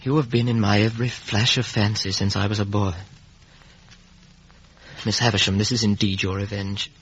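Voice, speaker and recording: An actor playing an earnest, lovelorn young gentleman in a 1950s radio drama. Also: lowish voice, level tone, normal speed, slightly rough. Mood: anguished, bitter. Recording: muffled, dull vintage radio recording.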